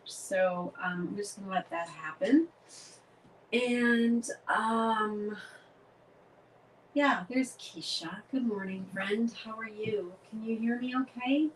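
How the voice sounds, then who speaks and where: A woman's voice: a little high, slow, a little hiss or hum, low-quality recording. A middle-aged woman from Canada with a head cold, hosting a casual live craft demonstration and greeting viewers by name.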